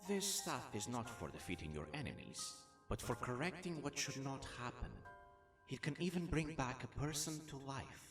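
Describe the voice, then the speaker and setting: Male actor as mysterious old man, divine, solemn, measured delivery, lively intonation, echoing, fantasy audio drama. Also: mid-range voice, natural voice. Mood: solemn, instructive. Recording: echoing voice under loud music.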